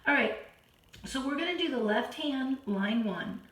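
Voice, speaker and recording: Hoarse woman teaching keyboard slowly. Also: high voice, expressive, fairly bright tone. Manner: frequent throat clearing, counts beats aloud. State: hoarse, losing her voice. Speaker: piano teacher. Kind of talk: slow, patient keyboard lesson for kids. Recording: roomy, from a distance. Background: keyboard playing.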